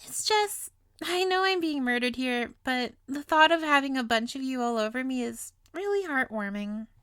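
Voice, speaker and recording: A woman's voice: high-pitched, animated, moderate pace, a bit bright, smooth, no room sound, studio quality. A woman playing a sweet, clingy, obsessively lovestruck young witch in an intimate comedic roleplay, with a cutesy, gushing, playful manner. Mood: gushing, dreamy. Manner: cutesy, gushing, flustered stammers, playful asides. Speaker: audio roleplay voice actor.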